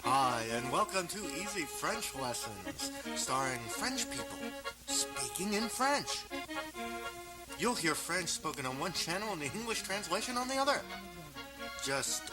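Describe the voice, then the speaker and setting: A man's voice: a little high, big pitch swings, a little slow, low-quality recording. A man presenting a parody language tape in a polite announcer's delivery. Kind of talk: parody instructional narration. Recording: loud music over the voice.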